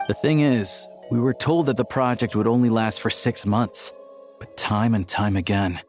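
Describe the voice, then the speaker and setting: An actor playing a level-headed, earnest young man in a video game, explaining things in a measured way. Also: lowish voice, a little mellow, faint music behind, natural voice. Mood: grave, explaining.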